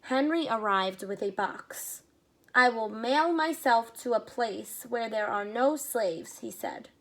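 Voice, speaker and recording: A woman teacher reads a children's picture book aloud, taking her time over each phrase. Her voice sits a little high. She pauses now and then for brief asides that explain the story to young listeners. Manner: slow, expressive reading with short asides explaining to children.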